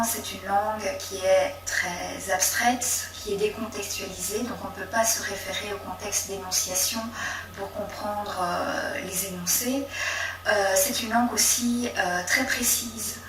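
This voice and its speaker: A woman teacher explaining classroom language difficulties in a measured way with fillers. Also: mid-range voice, echoey room, distant mic, low-quality recording. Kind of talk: explanatory interview answer.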